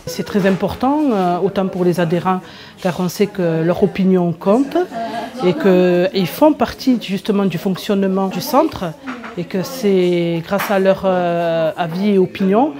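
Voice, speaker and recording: A woman's voice: on the low side, measured pace, a crowd in the background. A woman on the social centre staff, speaking earnestly and emphatically in an interview.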